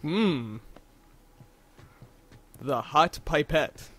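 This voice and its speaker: A man's voice: fairly high pitch, lively intonation, extremely slow, fairly bright tone. A man co-commentating on a video game in casual chat, wry and full of jokes. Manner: wry, joking. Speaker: let's play co-commentator.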